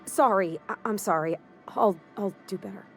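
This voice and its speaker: An actress playing a shy, eager, deferential young mechanic in a video game, with a hesitant, folksy, stammering manner. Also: female voice, medium pitch, moderate pace, soft background music, lightly acted. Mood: flustered, apologetic. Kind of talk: video game character acting.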